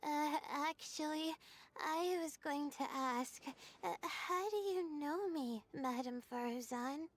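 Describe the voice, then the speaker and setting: A woman's voice: high voice, takes it slowly, slight background noise. A woman voicing a timid, flustered young female scholar in a video game, with a hesitant, polite, stammering delivery. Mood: nervous, confused. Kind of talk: anime-style video game voice acting.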